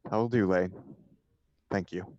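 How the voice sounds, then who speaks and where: A man voicing a flippant, witty young gentleman dandy, airy and theatrical, in a stage comedy table read. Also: sits low, steady tone, slow pace, highs cut off. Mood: mock horror.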